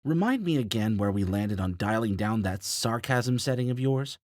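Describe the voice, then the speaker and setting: A man's voice: lowish voice, expressive, moderate pace, a little mellow, no room sound, studio quality, close to an everyday voice. A man voicing a hungover, wry male spaceship drifter who teases his robot. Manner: wry, teasing.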